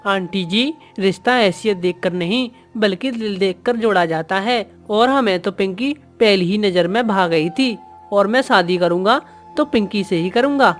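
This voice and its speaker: A woman's voice: medium pitch, moderate pace, faint music behind, close to an everyday voice. A woman voicing friendly bird characters in a moral-story cartoon. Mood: sincere.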